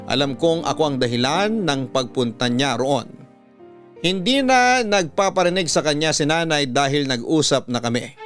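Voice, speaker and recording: Guy radio host reading someone's letter like a story, voice a bit high, music playing behind. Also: fairly bright tone. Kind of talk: first-person storytelling narration, scripted.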